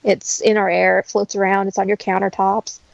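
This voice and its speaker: A woman's voice: mid-range voice, flat delivery, a little fast, vocal fry, dry recording, muffled recording. A woman talking casually and instructively, in a matter-of-fact way, as a guest who teaches practical skills. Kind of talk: casual conversational explaining.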